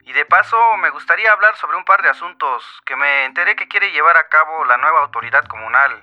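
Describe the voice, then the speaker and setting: An actor playing a smooth, scheming mining company agent with an insinuating Mexican voice, talking through a telephone filter in a radio drama. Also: male voice, fairly high pitch, animated, fast talker. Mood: slyly persuasive. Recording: dull phone line, music underneath.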